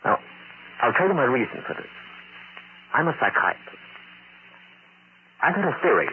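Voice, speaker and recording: An actor playing a deranged, menacing psychiatrist in a 1940s radio mystery, halting and insinuating, on a noisy old recording. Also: male voice, medium pitch, narrow, tinny audio, lightly acted. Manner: halting, insinuating, given to sinister chuckling. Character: deranged, scheming male psychiatrist, menacing and gloating.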